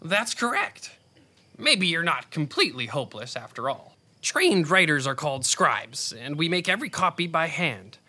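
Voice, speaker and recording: A man's voice: fairly high pitch, very animated, moderate pace, bright, forceful tone, exaggerated voice. A man voicing a proud, grumpy rabbit scribe with a fussy, pompous manner in a children's audio drama. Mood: pedantic. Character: grumpy, proud male rabbit scribe, pedantic and easily offended.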